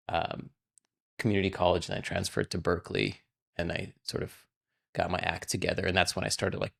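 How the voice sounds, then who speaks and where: A man's voice: low-pitched, creaky, fairly bright tone. A man in his forties from Russia, a game programmer chatting casually as a podcast guest and rambling with a constant stream of "like". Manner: rambling, fills speech with frequent "like". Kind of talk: casual interview chat.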